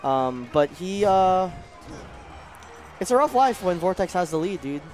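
This is a young man from the Bronx commentating a game match, his voice sitting high for a man. He talks in quick, jokey banter and his pitch jumps up whenever the play gets exciting. Faint music plays behind him. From the Bronx, New York. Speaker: esports commentator.

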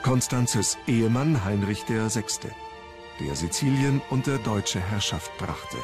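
A man's voice: on the low side, animated, slow, music in the background. A man reading scripted documentary narration as the narrator of a history programme.

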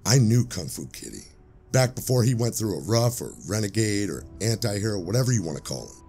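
An actor playing a world-weary old police sergeant in an audio drama, with brooding, measured delivery over background music. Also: male voice, fairly low pitch, big pitch swings, mellow, husky, exaggerated voice. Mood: reflective. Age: older. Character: grizzled, street-hardened old police sergeant, world-weary, days from retiring. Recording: music bed under the voice.

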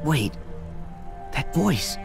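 Plucky, expressive teenage boy hero. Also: on the high side, drawn-out words, creaky, over music, heavily acted. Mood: puzzled, concerned. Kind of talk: video game cutscene acting.

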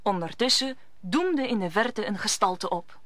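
Bright-voiced woman narrating a story. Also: mid-range voice, animated, measured pace, no echo. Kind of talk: scripted storytelling narration for audio drama.

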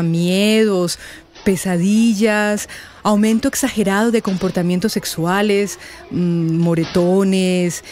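A woman's voice: mid-range voice, a little mellow, slight background noise, lightly acted. A woman with a Colombian accent playing a concerned, tactful veteran schoolteacher in a radio drama, speaking carefully and earnestly.

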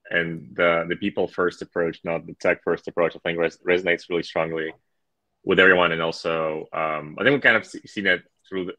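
A man with a very low, deep voice moderates a panel over a video call. He speaks haltingly, with many filler sounds and self-restarts. The sound is dry and close, with no room in it. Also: pushed and bright, no echo, low-quality recording.

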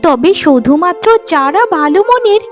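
A woman plays a magical fairy in a cartoon fairy tale. The voice is very high, kind and reassuring. Music runs behind it. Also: lively intonation, normal speed. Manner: caring, reassuring.

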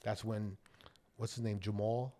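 A middle-aged man with a New York accent, a guest DJ on a podcast, telling animated and profane stories in a small studio on a close mic. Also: fairly low pitch, measured pace. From Brooklyn, New York. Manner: animated, profane storytelling with bursts of emphasis. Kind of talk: casual podcast storytelling. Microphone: studio mic close up, wearing headphones.

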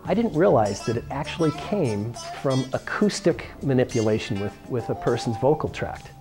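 A middle-aged American man explains a homemade science project like a teacher. His pitch sits in the middle range and moves up and down in a lively way. He is miked at the lapel. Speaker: science demonstrator and host. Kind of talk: explanatory how-to presentation. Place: school workshop or lab room, indoors. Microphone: lapel microphone.

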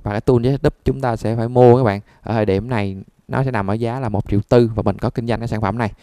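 A man's voice: medium pitch, steady tone, fast talker, dark tone, no room sound, clean studio sound. A man giving a conversational step-by-step repair tutorial, often checking that listeners follow. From Vietnam. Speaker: phone repair instructor.

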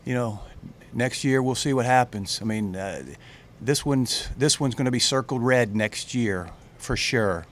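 A middle-aged man, a head football coach, answering questions at a postgame press conference in plain, halting speech full of fillers and restarts, into a table mic. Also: medium pitch, slight background noise. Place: press room with branded backdrop. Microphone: table mic on a stand.

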